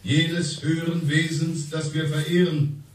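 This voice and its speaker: An actor playing a pompous older professor in a radio drama, speaking in a solemn, declamatory way. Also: male voice, fairly high pitch, level tone, close to an everyday voice, rough recording. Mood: solemn, insistent. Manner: solemn, declamatory, drawn-out phrasing.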